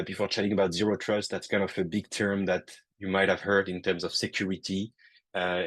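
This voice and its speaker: A man with a French accent giving a technical webinar presentation over a call, halting often with fillers and restarts. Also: sits low, breathy edge. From France. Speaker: guest presenter.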